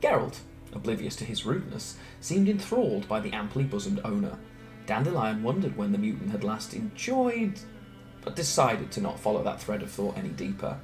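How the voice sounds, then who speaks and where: Animated male storyteller over music. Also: on the low side, normal speed. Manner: theatrical, expressive delivery. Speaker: storyteller reading fan narration. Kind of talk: dramatic scripted storytelling. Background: background music.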